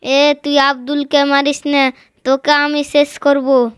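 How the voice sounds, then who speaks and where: A man voicing a small orphaned magic bird, thin, squeaky and childlike, in an amateur cartoon. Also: completely flat delivery, slow pace, a bit bright, dry recording, clean studio sound, heavily acted. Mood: defiant, threatening.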